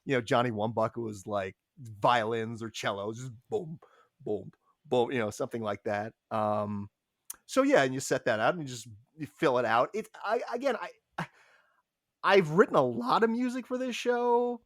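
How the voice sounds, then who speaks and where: A man's voice: medium pitch, normal speed, dry recording, studio quality. A man who composes music, answering casually and animatedly, acting out sounds as he explains. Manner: animated, sings and mimics sounds to illustrate. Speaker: audio drama composer.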